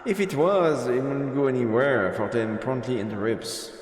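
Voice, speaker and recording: A man streaming a visual novel, reading the narration aloud in an expressive storyteller manner with asides. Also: mid-range voice, moderate pace. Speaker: streamer, let's player. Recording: echoey reverberant room, music behind. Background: game music.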